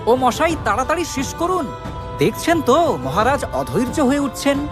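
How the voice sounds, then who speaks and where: A woman's voice: high voice, sing-song, normal speed, over music. A woman playing a clever, confident male court jester in a cartoon, crafty and commanding. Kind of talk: cartoon comedy dialogue.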